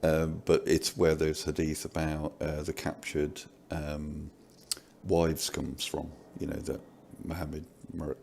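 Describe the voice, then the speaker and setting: A man with a very deep, creaky voice and a flat delivery comments casually and critically. He is dry and understated, with faint noise behind him. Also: slow. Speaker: panel commentator.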